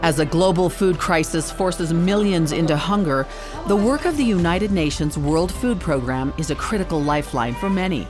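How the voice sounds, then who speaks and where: A woman narrating a news report in a measured, scripted delivery. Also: fairly low pitch, lively intonation. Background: music bed.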